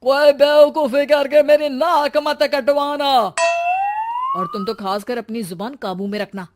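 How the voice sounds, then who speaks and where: A woman acts out a bossy cartoon husband who scolds with scorn. The voice is fairly high for it and sits in clear noise. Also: a little slow. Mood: scornful. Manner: scolding, stern.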